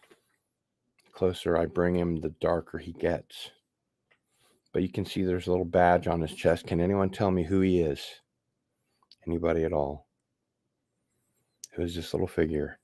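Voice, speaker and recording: A man's voice: low-pitched, fairly even intonation, measured pace, pauses often, a little mellow, no echo, clean studio sound. A man hosting a casual unboxing livestream, rambling along with wry asides. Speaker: host of an online show.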